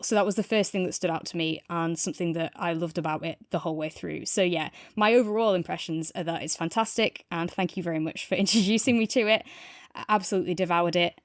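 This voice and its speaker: A woman's voice: lowish voice, no echo. Enthusiastic woman, close dry podcast sound. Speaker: podcast co-host and reviewer. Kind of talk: casual review chat, some reading aloud.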